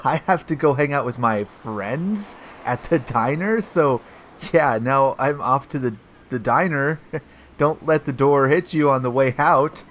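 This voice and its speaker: A man's voice: mid-range voice, unhurried, slight background noise, close to an everyday voice. A man playing a cocky, bumbling hardboiled police detective in a comic audio play, self-satisfied and full of old-fashioned slang. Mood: flustered, defensive.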